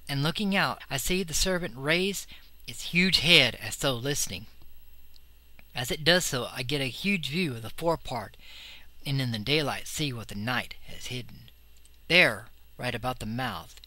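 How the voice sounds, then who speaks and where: A man's voice: mid-range voice, sharp tone, no room sound. A man reading a horror story as dramatic scripted narration, with an intense, theatrical and suspenseful delivery. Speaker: audiobook reader.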